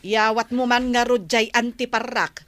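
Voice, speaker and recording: A woman's voice: fairly high pitch, steady tone, a little slow, fairly bright tone, a little hiss or hum. A woman playing a self-satisfied village official in a comedy radio drama, with a drawling manner. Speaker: actor in an Ilocano comedy drama.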